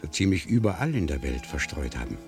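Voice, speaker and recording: An actor in a 1970s German radio play, playing a worldly, middle-aged French war reporter with a dry, wry, emphatic delivery. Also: male voice, very deep voice, very animated, fairly quick, soft background music, exaggerated voice. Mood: grave, bitter.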